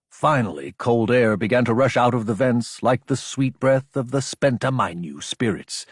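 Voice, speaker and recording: A man's voice: medium pitch, husky, dry recording, clean studio sound. A man narrating a science fiction action audiobook from a script.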